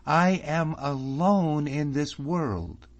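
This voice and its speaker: A man's voice: mid-range voice, expressive, unhurried, darkish tone, voice pushed up. A man reading an audiobook, voicing an earnest young man who pleads anxiously, close to his own voice. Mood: pleading. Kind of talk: audiobook character voice. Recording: slightly muffled recording.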